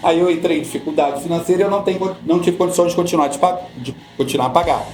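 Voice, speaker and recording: A middle-aged Brazilian man, a guest on a podcast, who explains pension rules patiently and often repeats his points to calm the listener. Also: on the high side, very fast, roomy sound, soft background music. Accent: Brazilian Portuguese. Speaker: social security law guest.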